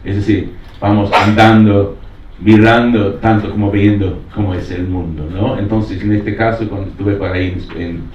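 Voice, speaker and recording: A man's voice: fairly low pitch, fairly even intonation, normal speed. A man who is not a native speaker, talking reflectively on a panel as a documentary filmmaker, hesitantly searching for words. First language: not Spanish. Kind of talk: reflective panel talk with personal account. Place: roomy panel venue. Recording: echoey, distant mic, dull, faintly noisy.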